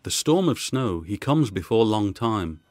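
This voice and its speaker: A man voicing a fearful, superstitious German coachman who speaks halting, broken English in an audiobook horror story. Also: lowish voice, sing-song, darkish tone, no room sound. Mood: uneasy.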